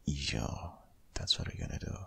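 A man playing a protective, worried boyfriend in an intimate ASMR audio roleplay, speaking insistently in a husky, half-whispered voice. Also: a little slow, close to an everyday voice. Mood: firm, protective. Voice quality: very deep, gravelly, husky, often half-whispered.

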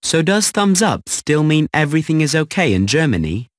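A woman's voice: low voice, very animated, moderate pace, no echo, clean studio sound. A woman voicing a curious young friend who asks questions in a textbook dialogue.

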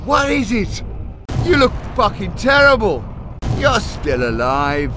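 An eccentric keeper of metal secrets for a video game, high-pitched and widely swooping. He rambles theatrically and swears freely, over a clearly noisy background. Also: very animated, slow pace, exaggerated voice. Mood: weary, irritable. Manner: foul-mouthed, rambling, theatrical. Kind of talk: video game cutscene acting.

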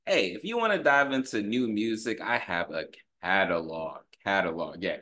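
A man's voice: lowish voice, expressive, normal speed, bright, forceful tone. A man in his thirties giving a casual, slangy music video reaction, full of short repeated exclamations, recorded at home on a desk mic. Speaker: rapper and reaction video host. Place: small room at home, indoors. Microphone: desktop microphone close by, headphones on. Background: pop music from the video.

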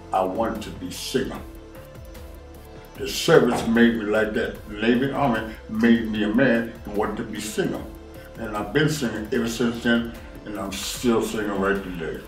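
A man's voice: medium pitch, recorded from a distance. A sixty-nine-year-old man sits on a living-room couch answering questions, speaking plainly and coming back to the same point again and again. His voice sits off the mic and rings in the room, with faint music under it. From Washington, D.C. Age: 60s. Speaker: interviewee. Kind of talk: documentary interview. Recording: roomy sound from off-mic.

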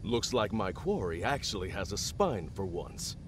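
Deep, haughty male general. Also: big pitch swings, normal speed, background noise. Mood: smug. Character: haughty, cool military general relishing the hunt. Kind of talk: villain acting in a video game. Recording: loud music behind.

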